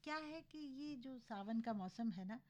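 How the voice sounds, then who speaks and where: A woman radio co-host with a sore, scratchy throat, explaining health matters in a relaxed conversational way. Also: on the high side, a little slow. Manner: explanatory, conversational, addresses listeners. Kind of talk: casual radio health chat.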